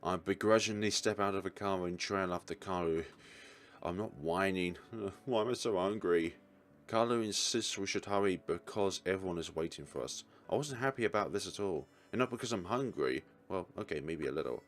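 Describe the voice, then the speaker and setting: A man's voice: low-pitched, moderate pace. A man reading story text aloud as a let's play host, with casual reactions and groaning asides.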